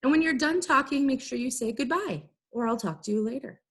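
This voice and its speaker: Lady teacher doing a how-to lesson, highish voice, plain simple step by step explaining. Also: a little fast, fairly bright tone. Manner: clear, simple step-by-step phrasing with acted examples. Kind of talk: instructional video lesson for students.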